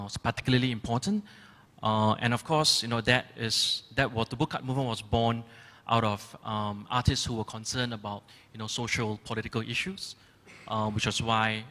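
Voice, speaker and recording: A man's voice: lowish voice, fairly bright tone. A man curator answering audience questions at a panel, thoughtful and hesitant with frequent ums. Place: large gallery auditorium. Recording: long reverb of a large hall.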